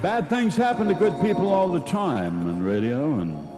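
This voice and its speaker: A man playing a wistful, deadpan storyteller looking back on boyhood in comic old-time radio style, with folksy pauses. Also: on the high side, over loud music, lightly acted. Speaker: storyteller host of a radio show.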